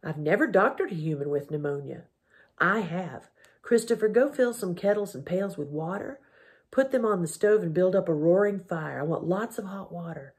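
Woman reading as a stern, brisk farm mother. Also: fairly low pitch, animated, darkish tone. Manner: brisk and practical. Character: stern, stubborn mother turned caring.

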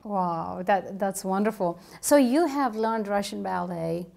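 Middle-aged lady host, eager, lots of quick interjections, lapel mic in a living room. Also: medium pitch, creaky, a little mellow.

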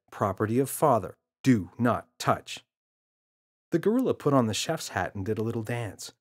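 A man narrating a children's story from a script, as an expressive storyteller. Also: lowish voice. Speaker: author and audiobook narrator.

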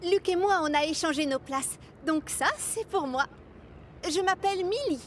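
A woman voicing a young female steam engine in a children's cartoon, timid but willing, polite and expressive. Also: very high voice, moderate pace, a little hiss or hum. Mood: cheerful. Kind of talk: animated children's series dubbing.

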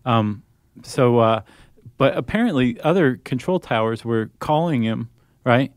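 A man's voice: mid-range voice, lively intonation, unhurried, no echo, clean studio sound. An American man co-hosting a podcast in casual, conversational style. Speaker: podcast co-host.

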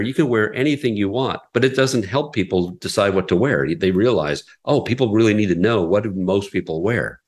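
A middle-aged man with a very low voice talks through an interview answer. He is earnest and a little rambling, circling back and restarting his sentences as he explains his work in classical radio. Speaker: classical radio station president.

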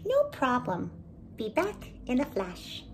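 A woman's voice: high voice, huge pitch swings, slow, a little hiss or hum, pitched above the performer's own voice, exaggerated voice. A woman voicing a kind, helpful male bird in a storybook, eager and a little timid. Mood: kindly. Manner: eager, obliging, slightly timid.

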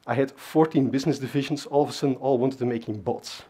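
A man's voice: medium pitch, fast talker, dark tone, breathy edge. A man, giving a casual technical conference talk on a stage, full of fillers and asides. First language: Dutch. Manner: conversational, many fillers and asides. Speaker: conference speaker. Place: large dark conference stage.

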